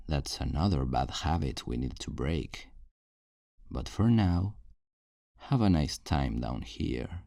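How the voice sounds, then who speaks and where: A man's voice: extremely low pitch, creaky, mellow, dry recording, studio quality, exaggerated voice. A man playing a possessive, controlling kidnapper in an intimate ASMR roleplay, coaxing and condescending with menacing tenderness. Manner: slow, coaxing, condescending pet-name talk.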